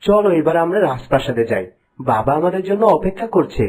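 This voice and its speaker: Man playing an animated, noble cartoon prince. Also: on the high side, quick pace, breathy edge. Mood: proud, tender. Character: noble young male prince, principled and caring. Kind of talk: cartoon fairy-tale voice acting.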